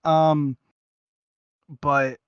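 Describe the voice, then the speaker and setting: A man's voice: fairly high pitch, level tone, extremely slow, smooth, no echo, lo-fi sound. A man co-hosting a casual review podcast, rambling and hesitant as he searches for his words. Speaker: podcast co-host reviewing audio series.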